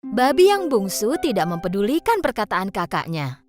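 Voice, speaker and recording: A woman's voice: mid-range voice, sing-song, moderate pace. A woman narrating a scripted children's story as a storyteller. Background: music.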